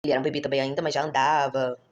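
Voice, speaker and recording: A woman's voice: very deep voice, flat delivery, racing, muffled recording. A woman, chatting to her viewers in a rambling vlog style and correcting herself often. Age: 30s. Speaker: vlogger, mother. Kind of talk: casual vlog storytelling.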